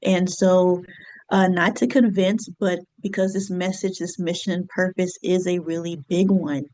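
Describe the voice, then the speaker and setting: A woman in her thirties speaks in a lowish, reflective voice as an astrologer and business coach. She talks conversationally to her audience, with frequent fillers and soft laughs. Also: flat delivery, unhurried, rough recording. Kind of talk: casual solo talk to camera.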